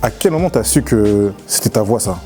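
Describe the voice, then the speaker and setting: A man's voice: on the low side, animated, a little fast. A young man, a barber hosting the interview, asking casual questions in slangy French. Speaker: host, barber.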